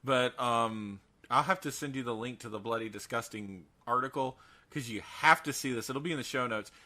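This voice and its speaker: A man's voice: mid-range voice, moderate pace, bright, forceful tone. A man co-hosting a podcast, chatting casually through horror news in a theatrical, jokey and emphatic way. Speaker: podcast co-host.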